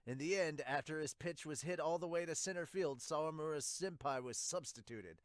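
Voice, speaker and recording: A man voicing a polite, chatty young baseball player recounting events in a lively amateur manga dub. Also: a little high, fairly quick, no echo, voice pushed up, close to an everyday voice.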